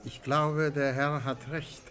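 An actor playing an elderly, formal and shrewd antiques dealer who reads horoscopes, in a measured German radio drama style. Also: male voice, fairly high pitch, level tone, a bit bright, soft background music. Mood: courteous, sly. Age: older. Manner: formal, measured, politely ornate. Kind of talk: German radio play acting.

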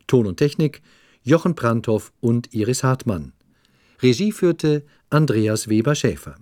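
A man reading radio play credits as a formal, measured announcer. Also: medium pitch, big pitch swings, darkish tone.

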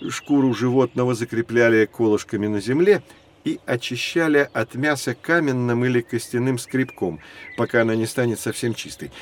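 Man acting as learned male professor, mid-pitched voice, deliberate explanatory storytelling, educational audio drama. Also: close to an everyday voice. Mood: patient, instructive. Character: learned male professor guiding children through prehistory.

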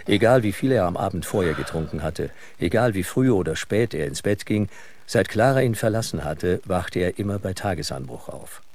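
A man narrating a dark thriller as the scripted storyteller of an audio drama. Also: low voice, animated, moderate pace. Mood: sober. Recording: clear background noise.

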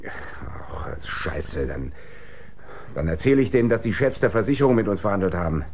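An actor playing a cocky young small-time crook in a radio crime drama, insolent and quick to defend himself. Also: male voice, lowish voice, very animated, a little mellow, a little hiss or hum. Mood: angry, threatening.

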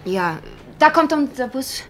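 A woman's voice: high voice, expressive, unhurried, fairly bright tone. An actress playing a reserved, independent young tugboat woman in a 1970s German radio crime drama. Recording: noisy old radio drama recording.

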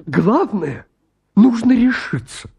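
A man's voice: very high-pitched, very animated, slow, creaky, mellow, slightly rough, exaggerated voice. An actor playing a flustered, stammering bachelor suitor in his thirties, anxious and hypochondriac, in a comic radio play. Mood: anxious, agitated. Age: 30s. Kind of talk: stage comedy acting in a radio play.

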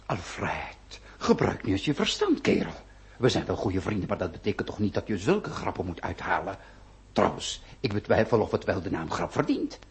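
A man's voice: deep voice, huge pitch swings, moderate pace, exaggerated voice. A man acting an exasperated, well-bred middle-aged nobleman in a radio play, remonstrating in formal language. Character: exasperated well-bred nobleman, husband and father, pleading for decorum.

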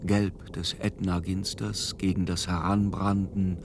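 An actor playing a contemplative man beholding visions, reciting in a measured, lyrical radio drama style. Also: low voice, little pitch movement, noisy background.

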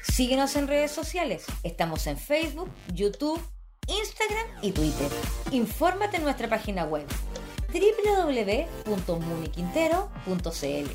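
A woman announcer delivers a promo. Her voice is high and very animated, with music clearly playing behind her. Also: a little slow.

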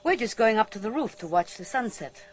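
An actress playing an elegant, brittle archaeologist's wife in a radio drama, gracious and poised but uneasy. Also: female voice, fairly high pitch, animated, fairly quick, natural voice.

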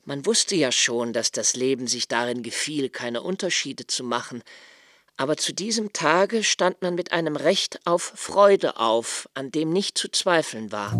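An actor playing a reflective, melancholy diarist in a literary radio play, speaking intimately and pensively with halting phrasing. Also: male voice, mid-range voice, close to an everyday voice. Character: reflective, melancholy male diarist recalling love and childhood.

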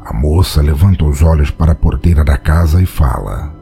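A man's voice: very deep voice, brisk, creaky, mellow, soft background music. A man with a Brazilian accent narrating a dramatic scripted audio story.

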